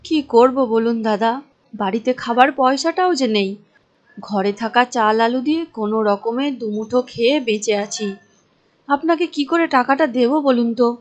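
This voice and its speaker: A woman's voice: on the high side, normal speed, lightly acted. A woman voicing a poor village mother in debt, plaintive and deferential, in a cartoon. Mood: pleading. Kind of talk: Bengali cartoon voice acting.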